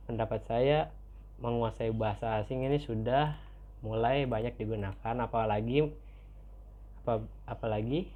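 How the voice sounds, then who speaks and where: The audio is narrow and muffled, like a phone line. A young man with an Indonesian accent speaks at a middling pitch. He presents like a student cadet before a lecturer, semi-formal and halting, doubling back to repeat his phrases. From Tangerang, Indonesia. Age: young adult.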